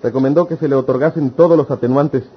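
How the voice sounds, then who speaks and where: An actor playing an indignant, sarcastic villager in an old-fashioned radio serial, declamatory and biting. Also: male voice, medium pitch, quick pace, natural voice. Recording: dull lo-fi radio serial with noise.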